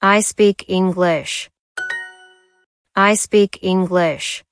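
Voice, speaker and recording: A woman's voice: on the low side, fairly even intonation, very slow, a little hiss or hum. A woman reading short language-lesson sentences aloud.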